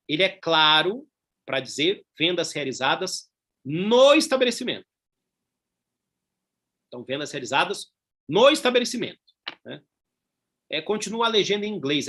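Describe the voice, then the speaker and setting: A man's voice: a little high, pushed and bright. A middle-aged man with a Brazilian Portuguese accent giving a conversational law lecture, full of tag questions and asides. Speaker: law professor.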